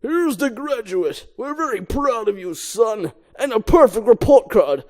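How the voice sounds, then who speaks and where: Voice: medium pitch, sing-song, a little slow, a little mellow, voice pushed up. A reader doing a proud bee dad.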